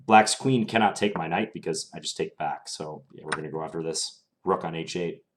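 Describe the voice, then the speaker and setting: Low male voice, young adult, live chess stream commentary, casual thinking aloud, slightly strained. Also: fairly quick, background noise. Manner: relaxed, thinking aloud with many fillers.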